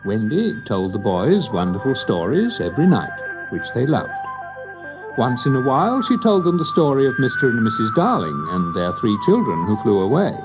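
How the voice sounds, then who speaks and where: Very animated male storyteller. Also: medium pitch, normal speed, music in the background, rough recording. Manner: expressive, storytelling. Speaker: narrator. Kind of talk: scripted children's story narration.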